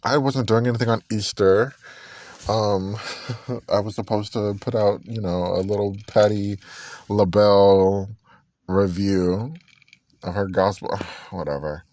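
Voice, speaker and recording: A man's voice: on the low side, fairly even intonation, a little slow, a little mellow. A man in his twenties hosting a casual solo podcast, chatty and rambling, correcting himself as he goes and breaking into snatches of songs. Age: 20s. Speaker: podcast host.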